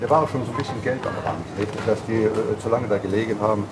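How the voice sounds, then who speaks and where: A man's voice: lowish voice, quick pace. A middle-aged man chatting casually, often hesitating as he searches for words. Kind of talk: casual conversation. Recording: clear street and traffic noise.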